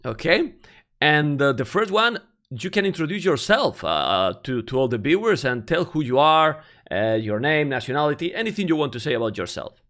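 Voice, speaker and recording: A man's voice: fairly high pitch, sing-song, moderate pace, a bit bright. A man with Spanish as his first language, hosting a casual interview over a video call, teasing and laughing often.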